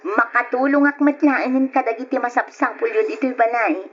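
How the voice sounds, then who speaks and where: Dutiful young daughter played by a woman, radio drama, high sweet voice, northern Philippine accent, muffled old recording. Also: steady tone, normal speed, slight background noise, close to an everyday voice. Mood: eager, pleased. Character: bright young grown-up daughter, eager to please her father. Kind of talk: Ilocano radio drama acting.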